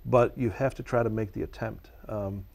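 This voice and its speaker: A man's voice: lowish voice, fairly even intonation, normal speed, gravelly, a little mellow. A man whose first language is Czech, answering interview questions at length in an earnest, passionate way as a nature educator.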